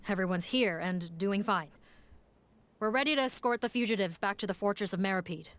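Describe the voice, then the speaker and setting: A woman's voice: medium pitch, moderate pace, a bit bright, natural voice. A woman playing a dutiful, blunt guard officer in a video game. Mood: formal, grave.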